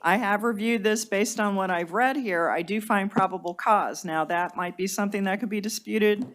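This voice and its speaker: A woman judge running bond hearings, reading charges and setting bonds in a brisk, procedural way. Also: medium pitch, fairly bright tone.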